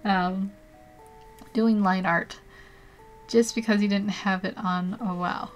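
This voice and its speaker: A woman's voice: mid-range voice, steady tone, slow, faint music behind. Young woman, art livestream host, chatty and giggly, rambles between steps, desk mic at home. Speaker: art streamer. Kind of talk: casual live-stream tutorial chat.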